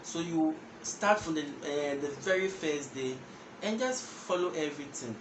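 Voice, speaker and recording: A man's voice: on the high side, expressive, a little slow. A young man with a Ghanaian accent hosting a casual language lesson straight to camera, explaining step by step and repeating words as he goes. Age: young adult. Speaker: language teacher and channel host. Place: indoor room with patterned wall. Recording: echoey room with background noise.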